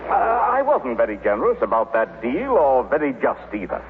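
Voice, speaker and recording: A man's voice: medium pitch, huge pitch swings, moderate pace. An actor playing a bemused, sputtering businessman in a 1930s radio comedy. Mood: conceding. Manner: sputtering, hesitant, repeats himself. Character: blustering, bemused businessman, grudgingly good-natured. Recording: tinny, noisy 1930s radio recording.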